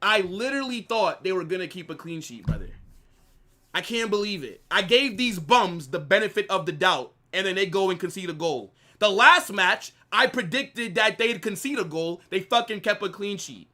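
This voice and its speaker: A man's voice: sits high, moderate pace. A man hosting a live football watch-along, chatting casually and reacting to his chat. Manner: animated banter, reading chat aloud. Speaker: live stream host.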